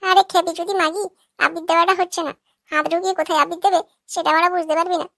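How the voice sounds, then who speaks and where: A performer voicing a foul-mouthed old village grandmother in a cartoon, sharp and nagging. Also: extremely high pitch, flat delivery, fast, vocal fry, no echo, studio quality, full character voice.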